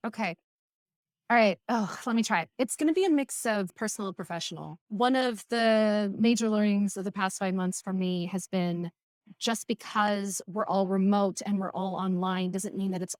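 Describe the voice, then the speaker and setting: A woman guest on an interview podcast, speaking at a middle pitch with a candid, lively and reflective manner. The recording is dry and close, with no room sound. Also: normal speed, dry recording. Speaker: podcast guest, community team lead. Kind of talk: casual interview conversation.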